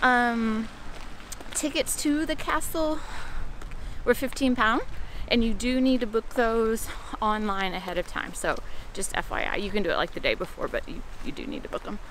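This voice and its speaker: A woman's voice: on the high side, moderate pace, a bit bright, slight background noise. A woman in her thirties talking to a handheld camera in a casual travel vlog, chatting through plans and practical tips for viewers. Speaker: travel vlogger. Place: in a car and outdoors at castles. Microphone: camera held at arm's length.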